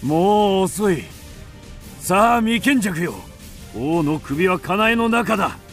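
An actor playing a mysterious, fearless undead avenger in a radio drama, speaking in a grim, theatrical, archaic manner. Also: male voice, sits high, lively intonation, slow pace, faint music behind, full character voice. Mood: fierce, defiant. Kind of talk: Japanese radio drama acting.

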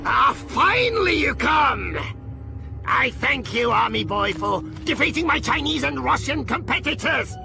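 High, gravelly military villain. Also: male voice, big pitch swings, unhurried, shouting, over music, full character voice. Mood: gloating, shouting. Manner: grandiose, taunting. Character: gloating, theatrical Japanese military villain. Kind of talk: video game cutscene acting.

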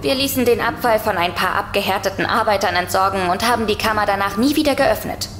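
A woman's voice: medium pitch, quick pace, a bit bright, natural voice. A woman plays a slightly uneasy, practical young woman in a spooky radio play. Her mid-range voice rings in heavy echo, with faint music underneath. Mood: matter-of-fact. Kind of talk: German audio drama acting. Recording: echoey reverb, faint music.

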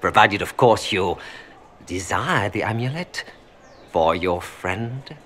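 Suave French-accented merchant, swooping intonation. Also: male voice, fairly low pitch, lively intonation, slow, fairly bright tone, slight background noise. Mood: smooth bargaining. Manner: courtly, formal, smoothly unapologetic. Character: suave, calculating Orlesian merchant seeking noble influence. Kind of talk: video game dialogue acting.

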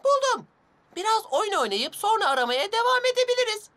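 A woman's voice: very high-pitched, big pitch swings, a little fast, a bit bright, exaggerated voice. A woman voicing a mischievous, proud but lonely childlike magical girl in a children's cartoon, exclaiming and pouting expressively. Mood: excited. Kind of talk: animated children's cartoon dubbing.

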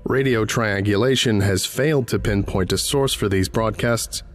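Guy with a lowish voice doing formal documentary narration, clinical and measured. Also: faint music behind. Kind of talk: scripted documentary-style narration.

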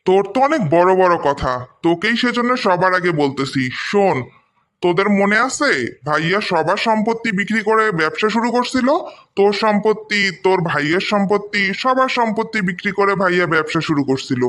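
A man's voice: sits high, expressive, brisk, a bit bright. A man voicing a defiant, protective young brother in a cartoon story, argumentative and insistent, with a Bangladeshi accent. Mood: defiant, accusing. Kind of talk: amateur cartoon story dubbing.

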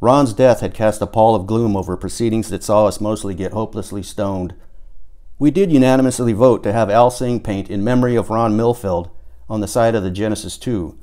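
A man's voice: fairly low pitch, mellow. A man narrating his own book as an author, in a theatrical audiobook style. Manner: acts out dialogue with emphatic swearing and outbursts.

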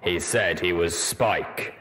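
A man voicing a stoic armored ghoul pony warrior in a deep rumble, slow and measured. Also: a bit bright, heavily acted. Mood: curious.

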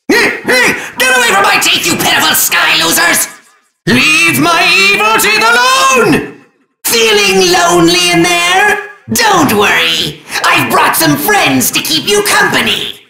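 A man voicing a whiny, megalomaniac evil sorcerer in a video game, ranting in a shrill, gravelly screech. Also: big pitch swings, slow, exaggerated voice. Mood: indignant, whiny. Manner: ranting, grandiose, hammy. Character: small, giant-egoed evil sorcerer villain, whiny and megalomaniac. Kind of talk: video game villain voice lines. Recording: echoey cavernous reverb, music behind.

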